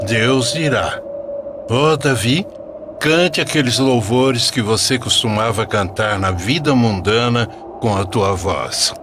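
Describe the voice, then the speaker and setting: A man's voice: fairly low pitch, background noise. A man narrating a scripted religious story in a solemn storyteller's manner.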